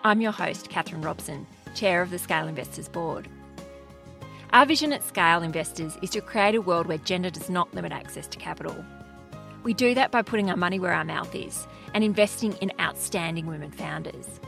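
A woman hosting a podcast, reading a scripted introduction clearly and then asking interview questions. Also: fairly low pitch, brisk, fairly bright tone, soft background music. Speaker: podcast host.

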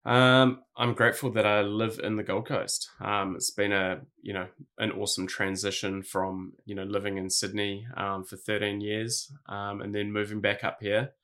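A man in his thirties with a New Zealand accent talks through his story as a podcast guest. His voice is fairly low and his delivery is laid-back, full of ums and likes. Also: flat delivery, moderate pace, hardly any pauses, pushed and bright. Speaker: guest, clothing brand founder. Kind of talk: casual interview answers.

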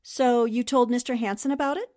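A woman playing a confident, sharp-witted newspaperwoman sleuth in 1940s radio drama style, brisk and knowing. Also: fairly high pitch, fairly even intonation, close to an everyday voice. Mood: composed.

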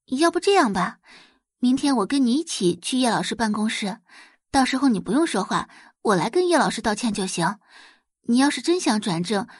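A woman acts out a young rich-family daughter for an audio drama. She speaks in a soothing, coaxing way, all consideration on top and scheming underneath. The pitch moves a lot and the sound is dry and close. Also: breathy edge, dry recording. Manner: gentle, coaxing.

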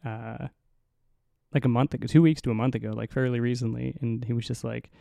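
A young man hosting a solo podcast in a low, mumbly voice, rambling and swearing casually, right up on the mic. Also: fairly even intonation, brisk, creaky, warm tone, no room sound, studio quality. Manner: rambling, profane, self-mocking. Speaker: podcast host. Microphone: close to the mic.

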